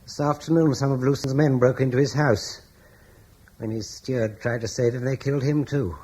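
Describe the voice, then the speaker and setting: A man's voice: medium pitch, fairly even intonation, moderate pace, dark tone, close to an everyday voice. An actor playing an anxious, stammering man who blames others and is fleeing with his wife, in an old radio drama. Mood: grim. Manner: hesitant, stammering, gloomy. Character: anxious older Romano-British man, fearful, bitter. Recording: old broadcast with faint hiss.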